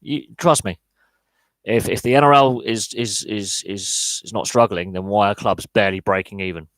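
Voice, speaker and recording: A man's voice: fairly low pitch, normal speed, fairly bright tone, dry recording. A man hosting a live podcast Q&A, talking through opinions with lots of fillers and restarts. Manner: opinionated, answers chat live, many fillers and repeated words. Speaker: podcast host.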